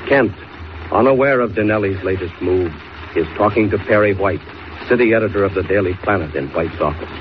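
A man's voice: fairly low pitch, a little fast, natural voice. An American man performing as a dramatic, declamatory announcer narrating a 1940s radio serial. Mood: dramatic, measured. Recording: muffled old radio recording, faint noise.

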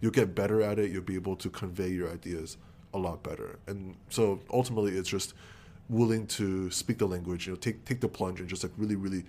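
Man, 20s, low-pitched, relaxed, filler-heavy interview talk. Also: fairly quick, slight background noise. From Taiwan. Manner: relaxed, filler-heavy, lots of "like" and "you know". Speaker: guest, co-founder of a Taiwanese American group. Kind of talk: casual interview answers.

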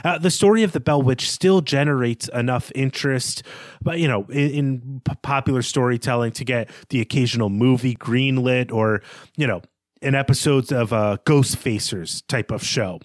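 A man's voice: mid-range voice, normal speed, no echo. A man co-hosting a literary discussion podcast, talking in an analytical, explanatory way. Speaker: podcast co-host.

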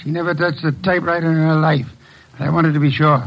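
Casting: imperious, unruffled detective played by a male actor, higher-set voice, formal and dry, muffled old-time radio drama sound. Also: little pitch movement, close to an everyday voice. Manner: deliberate, formal, dryly needling. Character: calm, imperious, dryly amused male genius detective. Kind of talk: classic radio drama acting. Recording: dull lo-fi old radio recording.

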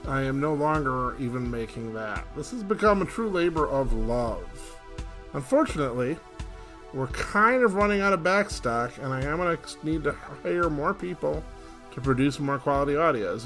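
A man with a somewhat high-pitched voice speaks as a podcast host. His delivery is an informal introduction, and soft music plays behind him.

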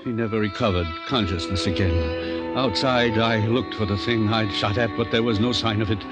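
An actor playing a scientist's loyal, anxious friend who also narrates, in a 1950s radio drama. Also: male voice, on the low side, fairly even intonation, moderate pace, loud music behind the voice, lightly acted. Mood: grave recounting.

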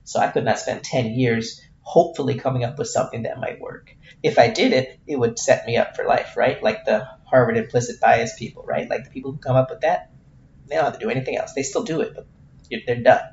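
A man in his thirties talks through his own podcast, candid and self-deprecating, often restarting his thoughts. His voice has a gravelly, fry-tinged edge. The sound is roomy and somewhat dull, like a lo-fi home recording. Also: medium pitch, fairly quick, slight background noise. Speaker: podcast host. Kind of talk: reflective solo podcast monologue.

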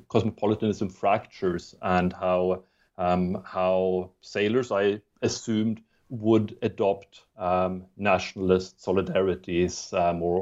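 A man's voice: deep voice, a little mellow, muffled recording. A man from Sweden, raised in Germany, speaking English as a second language with a mixed European accent; a historian talking as a podcast guest, hesitant, with many ums and restarts. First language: not English. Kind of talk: podcast interview.

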